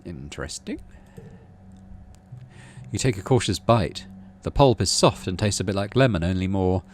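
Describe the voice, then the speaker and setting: A man's voice: sits low, animated, moderate pace, a little mellow, a little hiss or hum. A man reading out a story game as narration and adding casual commentary as he plays. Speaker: video game streamer.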